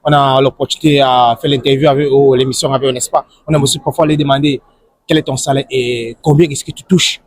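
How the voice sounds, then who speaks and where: A man's voice: medium pitch, steady tone, fast, no echo. A man hosting a casual street interview, asking wordy questions dotted with tag questions. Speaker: interviewer and video host.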